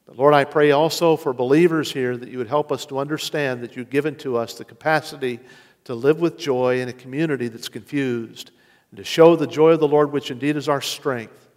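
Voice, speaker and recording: A man preaching a sermon as pastor, earnest, slowing down to stress key words. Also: mid-range voice, steady tone, darkish tone. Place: church sanctuary. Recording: long reverb of a large hall.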